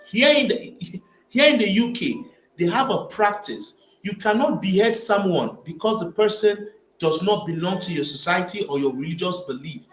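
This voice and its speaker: A man's voice: high-pitched, expressive, normal speed, fairly bright tone, faint music behind. A man from Nigeria giving opinionated vlog commentary, emphatic and given to repeating phrases as he builds his argument. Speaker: vlogger. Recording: echoey room with faint ambient noise.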